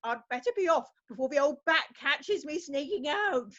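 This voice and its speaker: A woman's voice: high voice, lively intonation, moderate pace, a bit bright, highs cut off. A woman playing a cheeky, gossipy older neighbour in an amateur comedy sketch.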